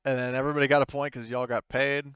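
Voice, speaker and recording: A man's voice: mid-range voice, flat delivery, rapid-fire, a bit bright, clear, resonant tone, dry recording, lo-fi sound. A man talking casually as game master of a tabletop game, easygoing and prone to swearing.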